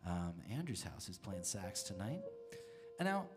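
A man's voice: low voice, big pitch swings, unhurried, soft background music. A man hosting a live community radio show from a living room, chatting casually and rambling a little with a guest between songs. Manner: rambling, friendly, restarts sentences. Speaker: radio show host and musician. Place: living room during a live band session. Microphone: stage vocal mic on a stand.

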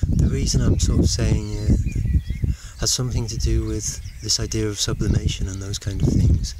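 A middle-aged man giving a rambling, reflective monologue to camera, halting as he searches for words. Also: fairly low pitch, fairly even intonation. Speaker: vlogger. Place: outdoors in open countryside. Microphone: handheld camera at arm's length. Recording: noisy outdoor camera recording. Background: birds and outdoor noise.

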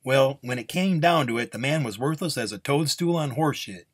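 A man voicing a defiant, sharp-tongued nun in a Western story, blunt, curt and commanding. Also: mid-range voice, lively intonation, normal speed, breathy edge, dry recording, studio quality, voice pushed up. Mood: scornful, angry.

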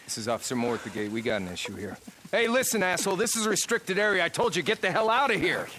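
An actor playing an officious, hot-tempered American gate guard in a video game, with faint noise behind him. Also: male voice, sits high, very animated, fast, sharp tone, heavily acted. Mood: irritated. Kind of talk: naturalistic video game acting.

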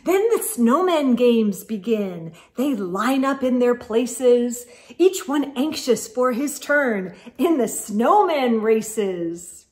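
A middle-aged woman hosting a children's storytime, reading a picture book aloud in an expressive, sing-song way and talking directly to her young listeners. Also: fairly high pitch, takes it slowly. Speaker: host of children's storytime show. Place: indoors in a room lined with bookshelves.

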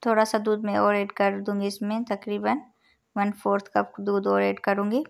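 A woman with an Urdu-influenced accent narrating a recipe step by step as a cooking channel host, calm and instructive as she counts out each measure. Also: mid-range voice, normal speed, creaky. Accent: Urdu-influenced Hindustani. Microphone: voice-over over overhead cooking shots.

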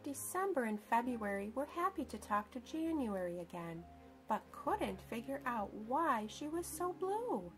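A woman storyteller reading a children's picture book aloud, with expressive, deliberate emphasis for young listeners. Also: on the high side, faint music behind.

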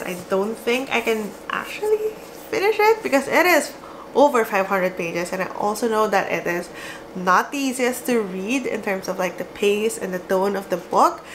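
A woman's voice: fairly high pitch, a bit bright, slight background noise, rough recording. A young woman from the Philippines with a Filipino accent, chatting casually to camera about books, giggling often. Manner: chatty and giggly, gestures a lot. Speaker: book vlogger. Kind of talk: casual to-camera book chat. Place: small cozy room indoors.

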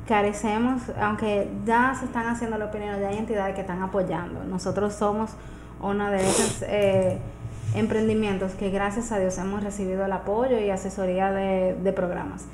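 Dominican woman over clear background noise. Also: medium pitch, little pitch movement, normal speed, a little mellow, poor audio. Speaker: guest entrepreneur. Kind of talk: casual radio interview. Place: small radio studio, indoors. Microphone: studio microphone on arm.

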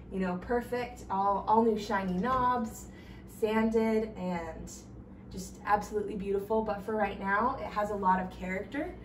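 A young woman gives a casual home tour, upbeat and chatty as she walks from room to room. Her voice sits at an ordinary middle pitch and carries a roomy echo, picked up from a few steps away. Faint room ambience hums underneath. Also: a little hiss or hum. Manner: upbeat and chatty, walking while talking. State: pregnant. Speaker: stay-at-home mom giving a home tour. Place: small home with kitchen, nursery and bathroom. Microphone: camera a few steps away. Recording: roomy, slightly distant sound, faint ambience.

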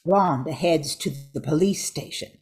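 A woman's voice: low-pitched, normal speed, darkish tone. An older woman reading scripted narration for a radio drama.

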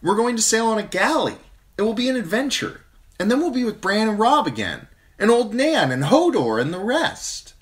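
A blunt, tomboyish little noble girl, matter-of-fact and plain-spoken, as a male reader does her. Her pitch bounces around a lot, fitting a fantasy audiobook. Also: huge pitch swings, unhurried, hardly any pauses, a bit bright. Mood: coaxing. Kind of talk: audiobook character voice.

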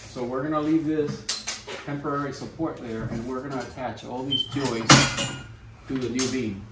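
A man's voice: medium pitch, moderate pace. A man hosting a renovation channel, explaining the work step by step in a casual how-to way inside a gutted house. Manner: plain, steady explaining. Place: large gutted house under renovation, indoors. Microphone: camera mic from across the room. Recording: echoey room from far off, loud job-site noise.

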